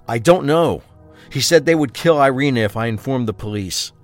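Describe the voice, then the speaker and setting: A man's voice: medium pitch, expressive, normal speed, soft background music, natural voice. A man playing a guarded, determined husband in a crime audio drama, speaking with intensity. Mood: grim, subdued. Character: capable, determined husband of a kidnapped wife.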